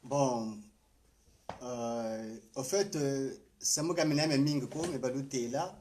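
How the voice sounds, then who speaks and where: A man with a Congolese accent giving a political address in a halting, deliberate manner. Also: mid-range voice, warm tone, a little hiss or hum. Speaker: political speaker.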